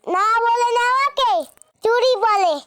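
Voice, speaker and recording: A woman's voice: very high voice, unhurried, heavy background noise. A woman voicing a small, simple village pupil in a children's cartoon dub. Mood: reciting.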